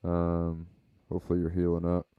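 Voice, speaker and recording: A man hosting his own podcast, chatting casually and rambling through memories in a deliberately quiet, laid-back way. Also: sits low, monotone, smooth, no echo, narrow, tinny audio. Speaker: podcast host. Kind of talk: casual reflective chat. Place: home, baby sleeping nearby.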